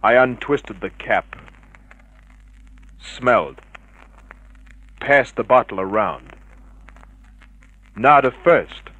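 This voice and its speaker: An actor playing a cynical, unflappable private detective, terse and dry, in vintage radio drama style. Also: male voice, mid-range voice, lively intonation, extremely slow, long pauses, pushed and bright, slightly rough, dull audio, full character voice. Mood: hard-boiled narrating. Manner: terse, hard-boiled, dry. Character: cynical, unflappable male private detective narrating his case.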